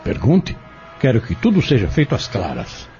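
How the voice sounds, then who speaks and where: A man acting a composed, persuasive, scheming trader in an audio drama, speaking formally and politely with measured phrasing. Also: medium pitch, wildly swooping pitch, a little mellow. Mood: composed, knowing. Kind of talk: dramatic radio-novela acting. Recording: muffled lo-fi audio drama recording. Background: prominent background music.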